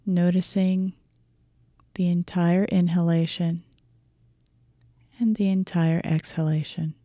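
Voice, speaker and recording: A woman leads a guided meditation in a soft-toned, mellow voice that sits slightly low. She phrases her instructions gently, leaving deliberate pauses, and the sound is close and dry. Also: level tone, dry recording, dull audio. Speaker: meditation teacher.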